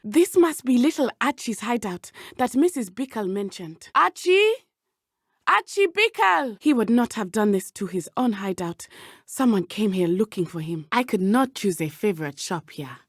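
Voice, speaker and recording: A woman voicing a brave, earnest teenage witch schoolgirl in a video game, speaking in a formal, measured way. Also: mid-range voice, sing-song, husky, no room sound, studio quality. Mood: fierce, alarmed. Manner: formal, measured, avoids contractions. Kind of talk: scripted game companion dialogue.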